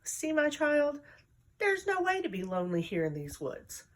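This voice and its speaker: A woman's voice: high voice, huge pitch swings, moderate pace, voice pushed up. Woman reading as a kindly old dwarf. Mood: coaxing. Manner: coaxing, gently insistent. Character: small old male dwarf, kindly and wonder-filled.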